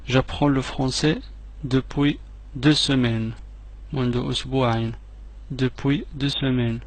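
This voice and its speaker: A man teaching French phrases, repeating each one slowly and clearly, with quick asides in between. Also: medium pitch, fairly even intonation. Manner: slow, clearly separated repetitions, quick asides in another language. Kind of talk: repeat-after-me language drill.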